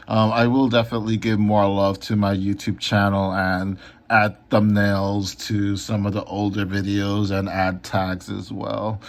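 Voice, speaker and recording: A man talking casually in a vlog about his comics and live streams, unhurried, with many ums. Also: lowish voice, vocal fry. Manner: unhurried, lots of ums and filler. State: a little hoarse. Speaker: comic artist and YouTuber.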